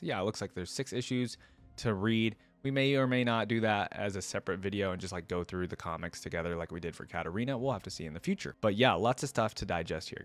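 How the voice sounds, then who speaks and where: A man's voice: lowish voice, no room sound. A man hosting a reaction video, chatting casually and thinking aloud as he talks to his viewers. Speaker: host of a game voice-line reaction video.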